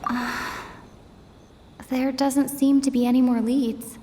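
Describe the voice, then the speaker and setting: A young adventurer's inner thoughts in a video game. The voice is high and slow, deliberate and pensive, washed in long reverb. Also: female voice, level tone, noisy background. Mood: deducing. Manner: deliberate, summarizing clues. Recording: long reverb, music behind.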